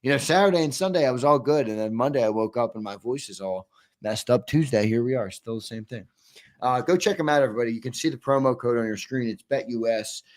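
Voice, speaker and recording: Guy hosting a sports talk show, kinda hoarse, upbeat and chatty. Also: medium pitch, fast. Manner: upbeat, reads viewer questions and ad reads. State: losing his voice. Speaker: host.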